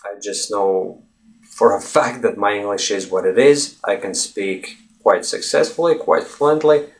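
A man whose first language is Russian, talking casually and encouragingly to learners about speaking English, with frequent pauses and repeated phrases. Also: fairly low pitch, vocal fry. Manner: relaxed, conversational, many "uh" pauses, repeats key phrases. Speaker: English fluency coach. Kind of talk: casual spoken lesson to viewers.

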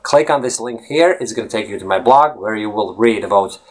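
A man's voice: on the low side, animated, a little fast. A man whose first language is Latvian, coaching English in a casual talk-to-camera vlog. He rambles off topic and keeps checking in with "right?" and "okay?". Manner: rambling, veers off topic, asks "right?" often.

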